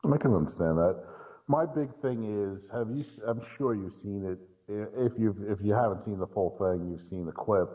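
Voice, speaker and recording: A man's voice: on the low side, moderate pace, mellow. A man chatting casually about films in an informal, blunt way. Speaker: podcast co-host or guest commentator. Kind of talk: casual film discussion. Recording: dull, lo-fi audio.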